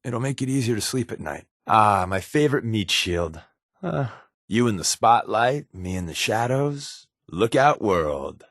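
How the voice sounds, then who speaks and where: A secretive male undercover operative from a video game. His voice sits slightly low, with a glib, wisecracking, conversational delivery that stays guarded. The sound is close and dry, with no room on it. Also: animated, measured pace, a bit bright, dry recording, full character voice. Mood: blunt, serious, then wry, teasing. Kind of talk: video game companion dialogue.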